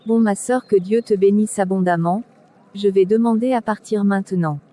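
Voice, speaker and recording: Cartoon drama role: actress as pious church sister, dark mellow tone, measured scripture-quoting counsel. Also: female voice, mid-range voice, close to an everyday voice. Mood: kindly. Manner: preachy, measured.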